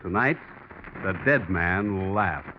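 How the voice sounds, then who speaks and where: Animated man announcing on tinny vintage radio. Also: sits low, slow. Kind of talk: 1940s radio sponsor announcing. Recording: tinny, hissy 1940s radio broadcast.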